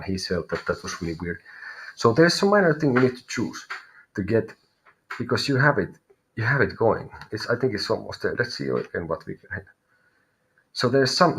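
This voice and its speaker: A man's voice: lowish voice, a bit bright, a little hiss or hum, low-quality recording. A man with a Finnish accent giving live tarot readings to viewers, thinking aloud and often searching for his words. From Finland. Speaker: tarot reader.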